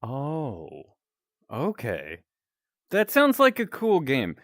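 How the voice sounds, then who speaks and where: A man with a deep, announcer-like voice, chatting casually with viewers while he plays a game. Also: extremely slow, dry recording, clean studio sound. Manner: relaxed, rambling, chatting to viewers. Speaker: streamer.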